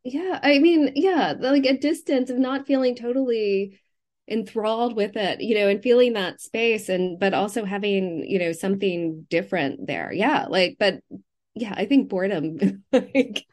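A woman talking casually as a novelist being interviewed, haltingly thinking aloud and often restarting her sentences. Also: mid-range voice, darkish tone.

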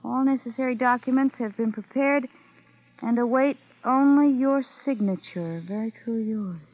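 A wary, calculating young woman played by an actress in a vintage radio mystery. Her voice sits fairly high, and the old broadcast sound is muffled. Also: normal speed, close to an everyday voice. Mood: reading aloud carefully.

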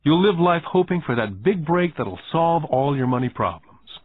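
A man voicing a calm, shrewd older businessman who lectures a boy in a measured, quietly knowing way. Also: a little high, slight background noise, voice pushed up, close to an everyday voice. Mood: lecturing. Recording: tinny phone-like band.

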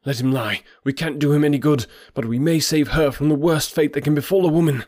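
A man's voice: on the high side, a little fast, a little mellow, no echo, clean studio sound, pitched above the performer's own voice, close to an everyday voice. A man voicing a frantic, desperate male ally in an audiobook story, exclaiming with urgency.

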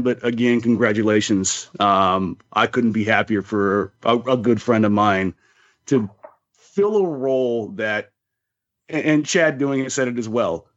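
A man hosting a casual interview, speaking in an informal, halting, familiar way, on slightly dull audio. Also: mid-range voice. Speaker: podcast host.

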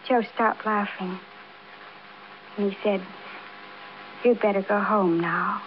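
An actress playing a childlike, defiant housewife confessing in a naive, matter-of-fact way, in 1950s radio crime drama style. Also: female voice, medium pitch, fairly even intonation, slow, lightly acted. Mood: wistful, detached. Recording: dull, noisy old radio broadcast.

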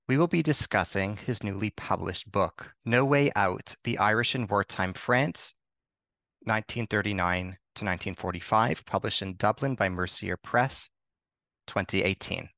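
Formal low-voiced podcast host. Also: male voice, normal speed, creaky, a bit bright, no echo. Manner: formal, reads prepared questions. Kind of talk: interview questions.

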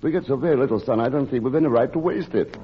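An actor playing an ardent, earnest gentleman lover, courteous and urgently pleading, in 1940s radio drama style. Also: medium pitch, racing, slightly rough, close to an everyday voice. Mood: eager, courteous. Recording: muffled, noisy vintage 1940s radio recording.

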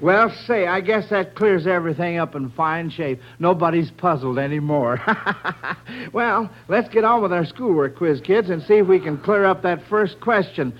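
A man's voice: sits high, expressive, normal speed. An American man hosting a 1940s radio quiz in a folksy, chatty way, addressing children and listeners as friends. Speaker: quiz show host. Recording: muffled vintage radio broadcast.

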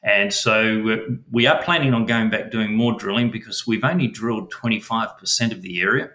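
A man's voice: fairly low pitch, normal speed, bright, forceful tone, roomy sound. A middle-aged Australian man with an Australian accent, answering interview questions as a mining company chief, hesitant and full of fillers and restarts.

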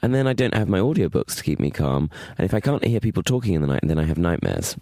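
A man's voice: sits low, big pitch swings, quick pace, creaky, mellow, no room sound, studio quality. A man co-hosting a podcast, chatting and gossiping playfully with his friend. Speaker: podcast co-host. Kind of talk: casual chat.

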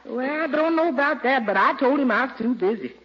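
An actress playing a good-humoured, sassy American housekeeper in 1940s radio comedy style, with folksy, wry understatement. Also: female voice, sits high, animated, a little fast, slightly rough. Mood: wry, protesting. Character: sassy, good-humoured housekeeper woman, teasing her employer. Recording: muffled vintage radio recording.

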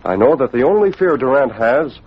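An actor playing a resolute masked crime-fighter, husky, decisive and commanding, in a 1940s radio drama. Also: male voice, mid-range voice, sing-song, a little fast. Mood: determined. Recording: muffled old radio recording.

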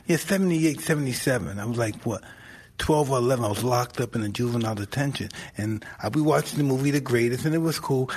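A man hosting a casual interview, telling a story in an emphatic way, with a husky voice. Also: mid-range voice. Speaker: podcast host.

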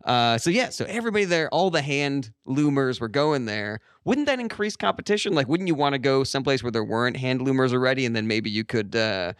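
A man hosting a comedy interview show and asking mock-serious questions, often halting on fillers and restarts. His voice sits at a middle pitch and is recorded close and dry, with no room sound. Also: animated, clean tone, dry recording. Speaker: podcast host.

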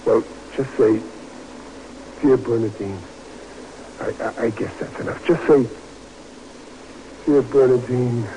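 An actor playing an earnest, lovesick American army private in a 1940s radio drama, plainspoken and halting. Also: male voice, mid-range voice, steady tone, dark tone, natural voice. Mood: tender, halting. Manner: plainspoken, halting, repeating himself. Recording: noisy vintage radio drama recording.

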